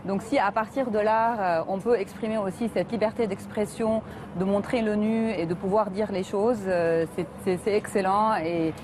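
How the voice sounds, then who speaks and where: A middle-aged woman from Lebanon speaks earnestly at a mid pitch. She stops often to hesitate while answering as a talk show guest. A clear noise runs steadily behind her voice. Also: fairly even intonation, normal speed. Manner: earnest, many hesitations with euh. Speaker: environmental activist guest.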